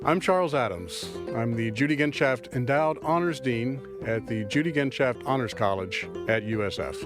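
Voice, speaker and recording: Formal man over guitar music. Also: medium pitch. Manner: proud, formal. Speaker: college dean. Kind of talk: scripted promo spot.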